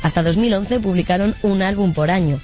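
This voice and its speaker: Scripted radio report voice, teasing buildup, clear music underneath. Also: medium pitch, a little fast, a little mellow.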